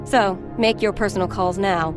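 Woman voicing a businesslike govt aide in an anime game, crisp n skeptical, bit of music behind. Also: mid-range voice, moderate pace, natural voice. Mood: dry, official. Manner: crisp, formal, matter-of-fact. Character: brisk, businesslike, skeptical female government aide. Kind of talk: dubbed animated game cutscene acting.

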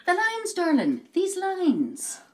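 A woman's voice: medium pitch, sing-song, mellow, slightly rough. An older actress playing a gushing, theatrical palm reader in a film, who draws her words out dramatically. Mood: reassuring, mysterious. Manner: dramatic, calls people darling, drawn-out delivery. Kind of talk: film acting.

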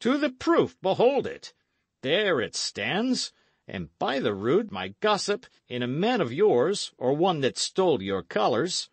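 A man's voice: medium pitch, big pitch swings, unhurried, few pauses, no echo. A man doing a sly, scornful old knight. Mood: scornful probing. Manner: keen, scornful, probing. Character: sly older male knight, keen and scornful.

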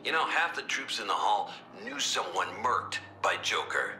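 An actor playing a gruff, hostile military officer in a video game, gravelly, menacing and scornful. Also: male voice, on the low side, sing-song, normal speed, pushed and bright, roomy sound, slight background noise, heavily acted. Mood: angry. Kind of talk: dramatic video game voice acting.

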